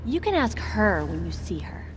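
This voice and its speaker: Woman acting wounded young woman, distrustful, bitter intensity, guarded, medium pitch, game drama, light background noise. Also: big pitch swings, moderate pace, lightly acted. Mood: haunted, bitter.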